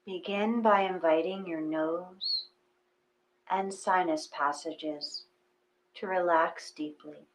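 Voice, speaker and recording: Slow, low-pitched woman guiding meditation. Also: steady tone, slight background noise, low-quality recording. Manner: gentle, unhurried, with long pauses. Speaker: meditation guide. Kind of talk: slow guided meditation reading.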